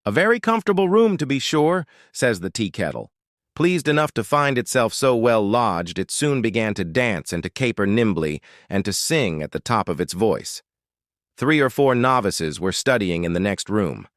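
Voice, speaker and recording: A man narrating a folktale as a storyteller, expressive and dramatic, with deliberate emphasis. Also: on the low side, no room sound, clean studio sound.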